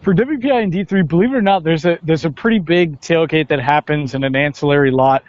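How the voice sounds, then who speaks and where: A muffled, lo-fi recording of a man with a somewhat high-pitched voice. He talks conversationally as a sports announcer being interviewed, pausing and repeating words as he goes. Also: a little hiss or hum. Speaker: radio play-by-play announcer, interview guest. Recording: dull, lo-fi, band-limited sound.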